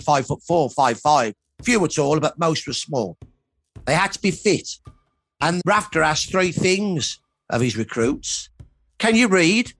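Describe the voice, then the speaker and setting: A man's voice: a little high, a bit bright, no room sound. A middle-aged or older man speaking as a historian in a documentary interview, explaining in emphatic, short repeated phrases.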